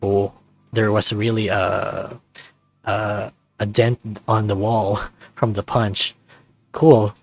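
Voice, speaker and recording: A man's voice: lowish voice, flat delivery, takes it slowly, vocal fry, no room sound. A man reading a visual novel aloud as a let's-play narrator, slipping into casual reactions. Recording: music playing behind.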